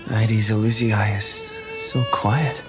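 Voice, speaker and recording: An actor playing a calm, quiet young traveler in a game, speaking in a hushed, breathy way. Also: male voice, lowish voice, measured pace, over music, natural voice. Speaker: game character. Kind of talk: game cutscene voice acting. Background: game score.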